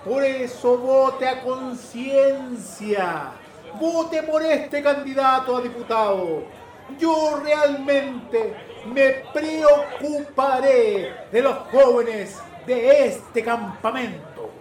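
A man's voice: very high-pitched, extremely slow, full character voice. A man playing a pompous, opportunistic politician giving a campaign speech in an audio drama. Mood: grandiose. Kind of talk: slow campaign oratory. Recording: echoey, distant, faint crowd.

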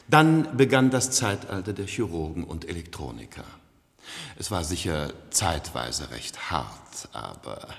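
A man acting a complacent, cultured ruler of a future elite in a 1970s radio play, suave, measured and condescending, in an echoey hall. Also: fairly low pitch, fairly bright tone, heavily acted. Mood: proud lecturing.

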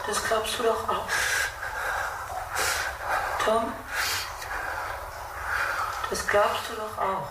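A woman's voice: mid-range voice, fairly even intonation, very slow, pauses often, distant mic. An actress playing a distressed, insistent captive woman asking short, pressing questions in a German radio drama. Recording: echoey room, off-mic, loud background noise.

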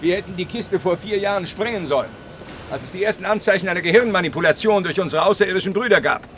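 An actor playing a cold, cynical and irritable space-agency doctor in a radio play, sarcastic and dismissive. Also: male voice, high voice, fast talker, a bit bright, slight background noise. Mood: sarcastic annoyance.

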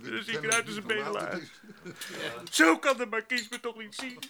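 A hapless, timid grape picker with an extremely high voice. He whines and stammers through a radio drama, clearly surrounded by background voices. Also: fairly even intonation, normal speed, a crowd in the background. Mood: plaintive. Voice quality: very high-pitched. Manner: stammering, whining, repeats words.

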